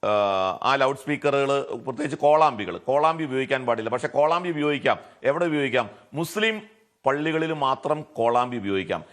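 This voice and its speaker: A man's voice: mid-range voice, animated, a little fast, fairly bright tone. A man with a Malayali accent arguing his case as a television debate panelist, often posing rhetorical questions. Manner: argumentative, poses rhetorical questions.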